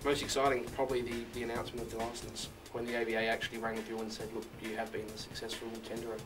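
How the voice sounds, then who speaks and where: A man's voice: mid-range voice, monotone, rapid-fire. A man giving casual interview answers about his work in community radio. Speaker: community radio staff member. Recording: music behind, distant mic.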